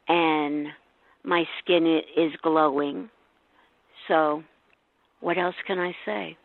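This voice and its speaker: A woman in her late sixties giving an informal, unhurried testimonial. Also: lowish voice, no echo. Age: late 60s. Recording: tinny phone-like line.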